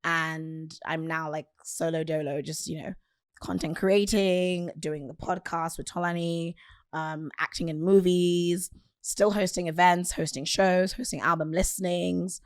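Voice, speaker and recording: Relaxed woman with a low nasal voice. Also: measured pace, smooth, dry recording, clean studio sound. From Nigeria. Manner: relaxed, filler-heavy storytelling with frequent "like" and "um". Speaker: podcast guest. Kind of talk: casual interview chat.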